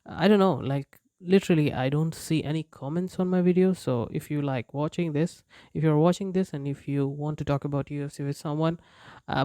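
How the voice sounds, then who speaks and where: Young guy rambling into his mic, english not his first language, lots of "like" and "uh", kinda dark mellow tone, dry sound. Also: fairly high pitch, no echo. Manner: rambling, frequent fillers and restarts, chuckles often. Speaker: YouTuber. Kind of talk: casual unscripted vlog chat.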